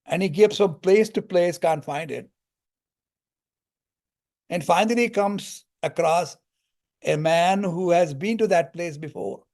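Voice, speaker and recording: Older male lecturer with a slightly high voice, calm and parable-driven, teaching spiritual texts. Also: slow, pauses often. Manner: calm, storytelling explanations with Sanskrit terms.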